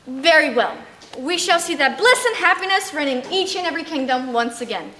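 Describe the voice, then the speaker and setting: A young female student acting as a brisk, confident marriage counselor in a theatrical fairy-tale stage comedy. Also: high voice, expressive. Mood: grandly reassuring. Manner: theatrical, declamatory. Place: school stage performance, recorded from afar. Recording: distant mic, echoey stage, background hiss.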